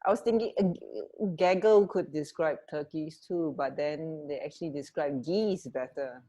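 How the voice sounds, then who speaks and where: A woman's voice: deep voice, normal speed, mellow. A woman co-hosting a radio show in casual chat, musing aloud and repeating words to herself. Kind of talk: casual chat about trivia.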